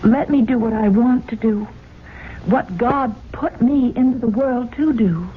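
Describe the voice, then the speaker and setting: An actress playing an earnest, awestruck young daughter in a 1970s radio drama, speaking in a hushed, dramatic way with deliberate pauses. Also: female voice, on the high side, lightly acted. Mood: pleading. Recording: muffled, hissy 1970s radio recording.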